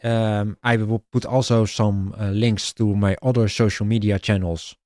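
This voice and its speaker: A man with a Dutch accent pitching his indie game idea in a casual vlog, halting and restarting often and clearing his throat. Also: flat delivery, darkish tone, dry recording, studio quality. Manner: halting, many restarts and filler sounds.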